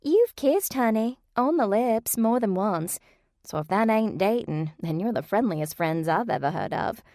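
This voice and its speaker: A coquettish office secretary who teases with a lazy drawl, as a woman puts her on. She sits higher than the narrator's voice, and the sound is dry and close. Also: lively intonation, a little slow, a little mellow, dry recording. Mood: teasing.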